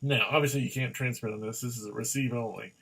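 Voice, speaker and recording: A man reviewing a product in a casual, hands-on way, halting and rambling as he goes and often repeating himself. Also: mid-range voice, steady tone, creaky, fairly bright tone, breathy edge, low-quality recording.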